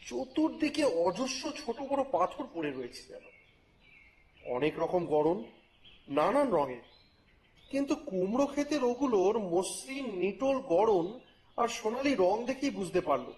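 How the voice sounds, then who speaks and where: A man narrating a story in the first person, with expressive, theatrical phrasing and a scripted dramatic style. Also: high voice. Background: music.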